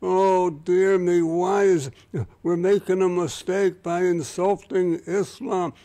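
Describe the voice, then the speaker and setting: Chatty radio host man, slightly high voice. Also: flat delivery, slow, dark tone. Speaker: radio talk show host. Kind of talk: radio interview.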